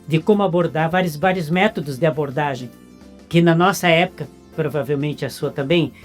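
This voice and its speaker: A woman's voice: low voice, brisk, soft background music. A woman with a Brazilian Portuguese accent hosting an interview in a talkative, casual way. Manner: talkative, lists ideas at length. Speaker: interview host.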